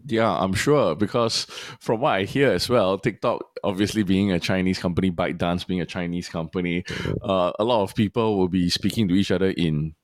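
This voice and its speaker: Low-voiced man from Singapore, curious host. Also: fairly quick, hardly any pauses, no room sound, studio quality. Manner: curious, rambling questions with frequent restarts. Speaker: interview host. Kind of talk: casual interview chat.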